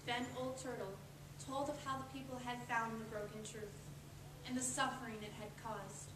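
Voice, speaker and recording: Higher-voiced woman narrator, echoey and distant. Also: fairly even intonation, normal speed. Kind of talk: scripted storybook narration, readers theatre. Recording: echoey room, distant mic, clear noise.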